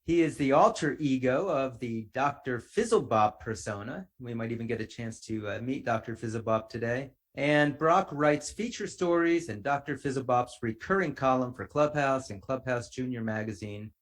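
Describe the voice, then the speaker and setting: A middle-aged man with a mid-range voice hosts an interview podcast. He speaks in an articulate, measured way, setting out introductions and questions in an orderly fashion. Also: medium pitch. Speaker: podcast host.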